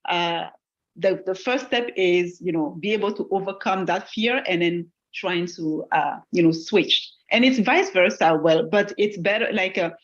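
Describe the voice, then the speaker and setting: A woman's voice: lowish voice, measured pace, muffled recording. A woman whose first language is French, an accounting instructor answering webinar questions in an earnest, encouraging way.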